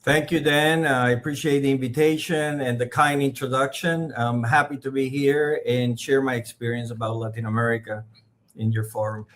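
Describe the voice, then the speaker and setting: Measured Puerto Rican man answering interview questions. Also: medium pitch, level tone, fairly bright tone. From Puerto Rico. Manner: measured, pausing with fillers, non-native phrasing. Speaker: podcast guest.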